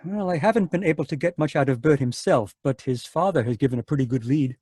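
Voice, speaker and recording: A man's voice: on the high side, fast, warm tone, slightly rough, no room sound, studio quality. An actor playing a worried male psychiatrist in an old-time radio drama, stammering and anxious as he speaks. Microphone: home mic on a video call.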